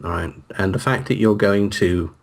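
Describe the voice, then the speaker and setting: A man's voice: lowish voice, moderate pace, creaky. A man co-hosting a let's play with casual comic banter, dry and teasing in rambling asides.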